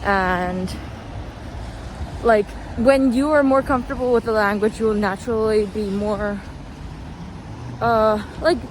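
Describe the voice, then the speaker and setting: A woman's voice: mid-range voice, steady tone, a little slow, long pauses. A young woman chatting casually as a vlogger while out walking, with hesitant, meandering thoughts. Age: young adult. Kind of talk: casual rambling walking vlog. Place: outdoors, walking. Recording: noisy outdoor street, traffic ambience. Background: street and vehicle noise.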